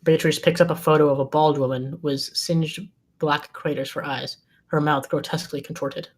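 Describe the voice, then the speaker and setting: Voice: mid-range voice, steady tone, normal speed. A narrator reading scene descriptions and stage directions from a screenplay at a table read.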